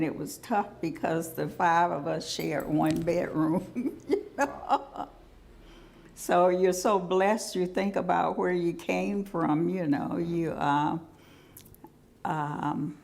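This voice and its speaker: A woman from Georgia slowly recalling moving north with her young family. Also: on the low side, creaky, a little mellow. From Georgia, US South. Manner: slow, reflective storytelling. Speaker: oral history interviewee. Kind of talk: personal recollection.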